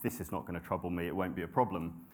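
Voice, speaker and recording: Low-voiced man preaching conversationally. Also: fairly even intonation, fast, a little mellow. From grew up in Nepal and the UK. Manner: conversational, self-deprecating, with frequent ums and restarts. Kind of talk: sermon.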